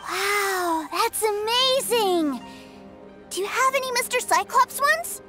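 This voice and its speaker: An actor voicing an excitable, innocent little boy in a video game, curious, proud and wide-eyed. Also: very high voice, takes it slowly, over loud music, heavily acted. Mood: eager, curious. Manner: eager, wide-eyed, childlike.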